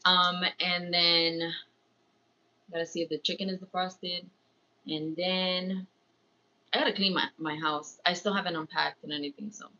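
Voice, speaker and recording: Bright, chatty New woman. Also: fairly low pitch, fairly even intonation, unhurried, pauses often. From born in Ecuador, raised in New York. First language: Spanish. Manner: chatty, rambling, slips into Spanish phrases. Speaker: livestream host, crafts vlogger. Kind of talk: casual livestream chat. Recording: slightly dull, lo-fi stream audio.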